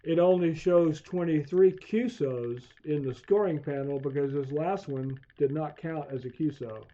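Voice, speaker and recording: A man giving an unscripted screencast tutorial, haltingly thinking aloud as he demonstrates software. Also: a little high. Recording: dull, lo-fi voice recording.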